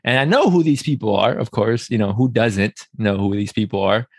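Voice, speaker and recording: A man's voice: on the low side, normal speed, no echo, clean studio sound. A man hosting a podcast in a casual, friendly, welcoming way, often repeating words and restarting as he goes. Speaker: podcast host. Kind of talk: casual conversational interview.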